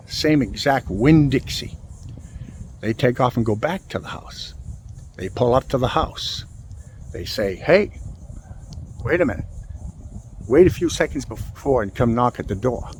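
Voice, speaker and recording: Male vlog host, mid-pitched, dramatic and halting true crime storyteller, recorded outdoors with traffic-like noise behind. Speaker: host of a cemetery exploring channel. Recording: clear vehicle and ambient noise.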